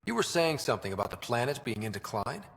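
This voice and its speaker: Easygoing, lively young space explorer. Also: male voice, mid-range voice, fast talker, creaky, a little hiss or hum. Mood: curious. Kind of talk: video game dialogue acting. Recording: long reverb, music underneath.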